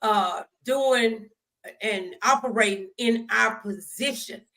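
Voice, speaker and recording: Brief: female American talk show host, fairly high voice, earnest and emphatic, conversational faith advice. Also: slow, bright, forceful tone, low-quality recording.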